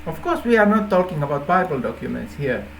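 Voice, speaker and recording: A native Finnish man speaking English with a Finnish accent, informally explaining an idea in halting phrases with repeated words. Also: fairly high pitch, big pitch swings, gravelly, slight background noise. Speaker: app developer presenting a feature idea.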